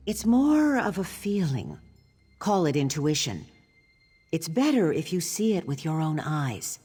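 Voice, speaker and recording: A troubled, discreet wife from a fantasy video game. Her delivery is earnest and guarded, with very animated pitch. Faint music plays beneath her words. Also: unhurried, breathy edge, full character voice. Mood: guarded, urgent. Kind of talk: scripted game character dialogue.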